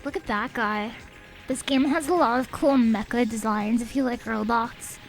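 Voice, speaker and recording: Soft, sniffly young woman streaming. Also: fairly high pitch, normal speed, creaky. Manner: soft-spoken, reads chat aloud. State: sniffly, a bit stuffed up. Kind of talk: casual gaming stream chat. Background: faint game music.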